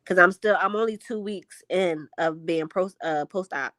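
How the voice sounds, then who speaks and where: A woman's voice: lowish voice, moderate pace, fairly bright tone, dry recording. Candid young woman, dry close sound. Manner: candid, fills speech with "like". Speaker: podcast co-host. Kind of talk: casual chat.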